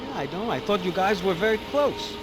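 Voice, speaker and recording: A man's voice: high voice, lively intonation, fairly quick, over loud music. An actor playing a sardonic male investigator in a video game, wry and needling, full of pointed questions. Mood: probing. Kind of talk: video game cutscene acting.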